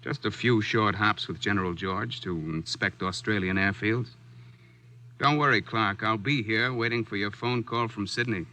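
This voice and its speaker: An actor playing a wry, jaunty American war reporter in a 1940s radio drama. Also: male voice, on the low side, normal speed, close to an everyday voice, poor audio. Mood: reassuring.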